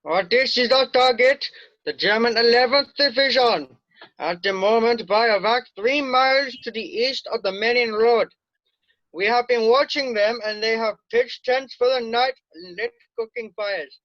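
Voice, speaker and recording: Man as a very high-voiced, dutiful sergeant major. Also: unhurried, fairly bright tone, no room sound, muffled recording. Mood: matter-of-fact, urgent. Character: precise, dutiful male army sergeant major scout. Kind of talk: amateur screenplay read-through.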